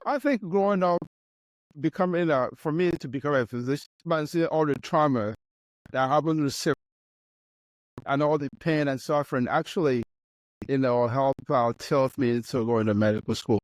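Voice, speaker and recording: A man's voice: mid-range voice, normal speed, no echo, poor audio. A man from Nigeria, a physician hosting an interview, talking emphatically and cutting in with his own opinions. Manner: emphatic, cuts in with reactions and opinions. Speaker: host, physician. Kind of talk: interview conversation.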